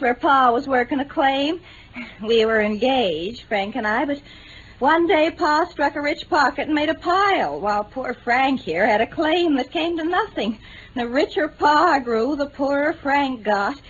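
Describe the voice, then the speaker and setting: An actress playing a candid, warm-hearted young American bride in mid-century radio drama, earnest and folksy. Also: female voice, high-pitched, lively intonation, measured pace. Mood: earnest, storytelling. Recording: muffled old radio recording.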